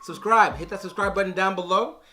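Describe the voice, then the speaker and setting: A man with a raspy, hoarse voice from being sick, reviewing a TV series to camera in a casual, animated, rambling way with frequent restarts. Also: high voice, brisk. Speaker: TV review vlogger. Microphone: on-camera shotgun mic.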